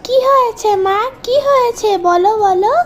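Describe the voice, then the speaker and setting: A woman's voice: very high voice, moderate pace, soft background music. A woman voicing a timid, mistreated little girl in a cartoon, pleading in a plaintive, obedient way. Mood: anxious, obedient. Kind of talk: melodramatic cartoon voice acting.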